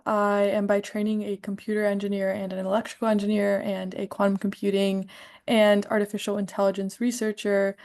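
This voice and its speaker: A woman's voice: medium pitch, a little mellow. A woman in her twenties, confident and polished, introducing herself as a tech professional on a panel.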